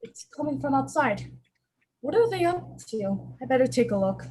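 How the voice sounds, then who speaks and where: A woman's voice: sits high, sing-song, moderate pace, warm tone, slight background noise. A woman playing an alarmed, impatient father in a radio play, urgent and demanding in manner. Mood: uneasy. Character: Mr. Morris, alarmed and impatient father of a young girl.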